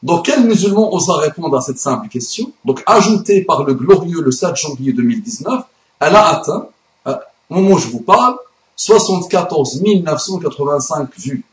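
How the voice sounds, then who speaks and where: A man's voice: on the high side, expressive, moderate pace. Guy ranting about religion on his channel, emphatic, echoey room. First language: Arabic. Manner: emphatic, indignant. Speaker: YouTube commentator. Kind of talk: religious commentary monologue. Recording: roomy echo.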